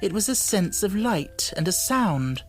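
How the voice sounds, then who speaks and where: A woman reading an audiobook as narrator, with deliberate phrasing and expressive, dramatic emphasis. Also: mid-range voice. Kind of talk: dramatic scripted storybook narration. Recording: music bed under the voice. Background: music.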